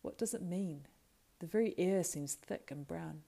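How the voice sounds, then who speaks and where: Storybook hobbit lad played by a woman: frightened grumbler, plaintive and excitable, low register with a creaky edge. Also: measured pace. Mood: grumbling, uneasy.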